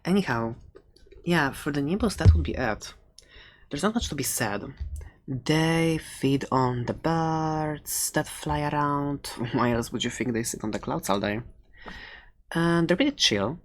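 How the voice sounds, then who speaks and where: A woman's voice: deep voice, expressive, a little slow. A woman playing a playful, friendly dragon egg seller in an improvised ASMR roleplay, rambling hesitantly with little giggles. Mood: musing, teasing.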